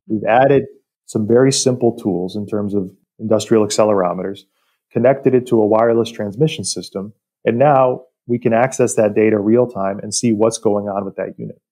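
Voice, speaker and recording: A man speaking as an expert podcast guest, explaining industrial work in a measured, technical way. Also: lowish voice, dark tone. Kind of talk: explanatory interview talk about industry.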